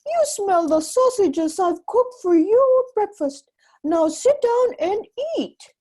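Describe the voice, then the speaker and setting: A woman's voice: very high voice, lively intonation, slow pace, dark tone, voice pushed up, exaggerated voice. A woman voicing a storybook giant's wife, a big bossy woman who scolds in exaggerated swoops. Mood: scolding, dismissive.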